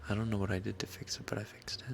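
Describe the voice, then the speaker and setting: A man chatting casually and softly on a late-night ASMR live stream, laid-back and swearing now and then. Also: on the low side, level tone, rapid-fire, gravelly, faint music behind.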